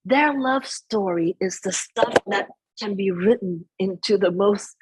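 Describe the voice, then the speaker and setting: A soft-spoken middle-aged woman speaking as a guest in an interview, chatting about family, sometimes slipping into Vietnamese phrases. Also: medium pitch, unhurried, husky, poor audio. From United States. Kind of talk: conversational interview.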